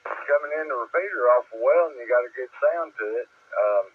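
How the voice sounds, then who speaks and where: A man's voice: mid-range voice, lively intonation, a little fast, slight background noise. A man with a Southern Appalachian accent chatting over two-way radio as a ham operator, folksy and a little hesitant. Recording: tinny, narrow-band ham radio transmission.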